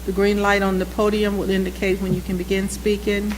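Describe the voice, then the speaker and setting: A woman chairs a board meeting in a public chamber, reading procedures aloud in a measured, formal way at a desk microphone. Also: mid-range voice, level tone, background noise.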